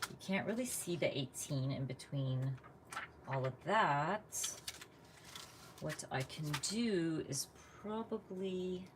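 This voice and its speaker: A woman's voice: sits low, lively intonation, takes it slowly, background noise. A woman from the United States chatting casually while she crafts live, thinking aloud and laughing often. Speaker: craft vlogger.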